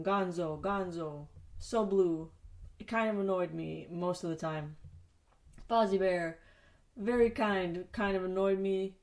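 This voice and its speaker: A woman's voice: mid-range voice, darkish tone. A woman hosting a solo podcast from a home basement studio, sounding nasal and sniffly with a cold and talking in a rambling, self-mocking way with some swearing. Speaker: podcast host. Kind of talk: solo casual podcast chat.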